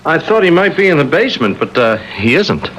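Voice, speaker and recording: A man's voice: a little high, big pitch swings, brisk, dull audio. A man playing a mild, hesitant suburban husband in a 1970s radio drama. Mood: uneasy. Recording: very noisy 1970s radio broadcast.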